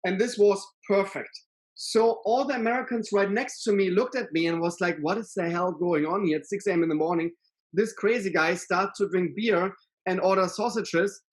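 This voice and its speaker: Animated German-accented man on a video call. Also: high-pitched, low-quality recording. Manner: animated storytelling with lively hand gestures. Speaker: podcast co-host. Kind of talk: casual chat. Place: living room with bookshelves and a painting.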